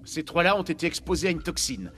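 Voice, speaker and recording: An actor playing a troubled, guarded research scientist in a video game, formal and defensive in manner. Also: male voice, a little high, big pitch swings, fast, a bit bright, slight background noise. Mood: distracted, guarded. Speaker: doctor, scientist.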